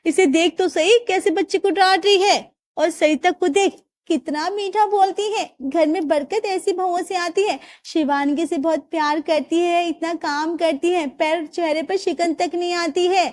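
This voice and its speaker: A woman's voice: very high-pitched, steady tone, a little slow, hardly any pauses, pitched above the performer's own voice. A woman doing a gossipy older neighbor woman. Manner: gossipy, exclaiming.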